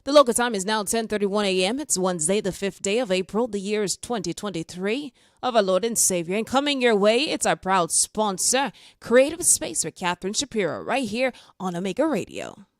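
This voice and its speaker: Lady station announcer, mid-pitched, reads it formal and even. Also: no echo, studio quality. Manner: measured, formal reading.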